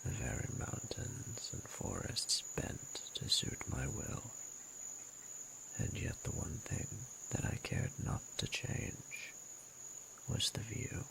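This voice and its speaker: Man voicing a very gravelly, regal flirtatious dragon. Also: big pitch swings, takes it slowly, pushed and bright, a little hiss or hum, heavily acted, low-quality recording. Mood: proud, wistful. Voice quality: very deep, breathy, gravelly. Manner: formal, archaic, teasing and courtly. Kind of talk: romantic audio roleplay, intimate slow monologue.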